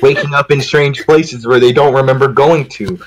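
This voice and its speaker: A man's voice: mid-range voice, fairly even intonation, normal speed. A man in a playful, rambling group read-through, switching between casual joking and reading his part.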